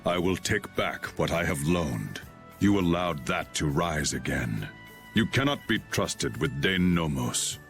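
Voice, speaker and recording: Aloof swordsman: very low, solemn, measured, commanding formality for a fantasy game. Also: very animated, vocal fry, fairly bright tone, faint music behind, full character voice. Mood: stern, solemn. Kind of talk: anime-style game voice acting.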